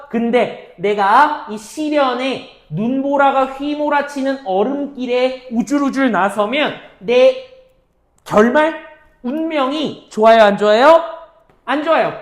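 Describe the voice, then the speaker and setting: A woman teaching exam-prep literature, firing off questions and answering them herself, mixing casual and polite speech. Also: high voice. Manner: mixes casual and polite speech, checks understanding often. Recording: roomy echo.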